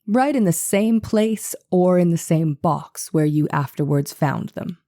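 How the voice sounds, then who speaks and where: A methodical defense attorney of the 1890s read by a woman questions a witness in a trial reenactment. The voice is low and very mellow, with formal, deliberate, probing phrasing. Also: expressive. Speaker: voice actor reading a lawyer's part. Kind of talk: dramatized reading of a trial transcript.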